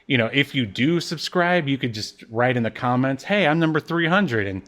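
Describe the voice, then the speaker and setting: A man with an average-pitched voice co-hosts a casual podcast. He rambles in a conversational, joking way and happily makes fun of himself. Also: lively intonation, normal speed, a bit bright. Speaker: podcast co-host.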